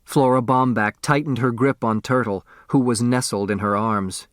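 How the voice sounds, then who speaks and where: A man's voice: mid-range voice, steady tone, normal speed, no echo, clean studio sound. A man reading a children's mystery novel as scripted narration. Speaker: audiobook narrator.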